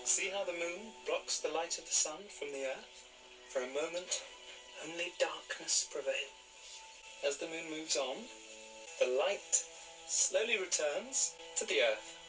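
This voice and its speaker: A man's voice: on the high side, measured pace, lightly acted, low-quality recording. An actor playing a self-absorbed, dreamy young king in a television period drama, with a lofty, performative manner. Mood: lofty wonder. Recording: TV audio with loud music.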